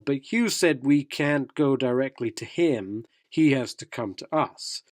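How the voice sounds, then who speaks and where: An actor playing an earnest, credulous young newspaper intern in a radio drama, talking in a casual, matter-of-fact, conspiratorial way. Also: male voice, mid-range voice, a little slow, no echo, clean studio sound, close to an everyday voice. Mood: earnest, confiding. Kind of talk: radio drama acting, conversational interview answers.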